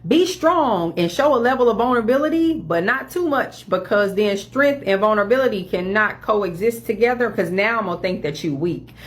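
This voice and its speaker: Female commentator, mid pitch, sarcastic rapid asides. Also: animated. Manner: mocking quoted demands, quick bursts. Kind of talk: opinion monologue.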